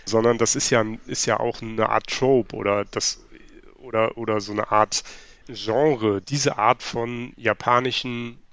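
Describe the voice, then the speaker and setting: A man's voice: medium pitch, steady tone, a little slow, fairly bright tone, clear, resonant tone, dry recording, highs cut off. A man hosting a casual podcast chat, asking questions and recapping to check that he understands.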